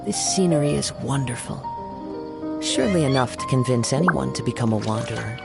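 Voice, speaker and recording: A serene wandering swordsman from a video game, homesick and poetic. His voice has a gravelly creak and a measured, reflective delivery. Loud music plays behind him. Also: medium pitch, animated. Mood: wistful, serene. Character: serene young male wandering swordsman, wistful for home. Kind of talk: scripted game character lines.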